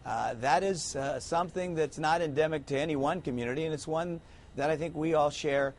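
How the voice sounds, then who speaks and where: A man who is a mayor, speaking in a measured way with frequent hesitant pauses on a TV panel. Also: on the high side, a little hiss or hum. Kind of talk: TV panel discussion.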